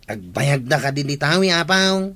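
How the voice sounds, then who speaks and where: Bright, gossipy village man. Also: on the high side, expressive, normal speed. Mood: curious, chatty. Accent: Ilocano. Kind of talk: Ilocano radio comedy drama acting.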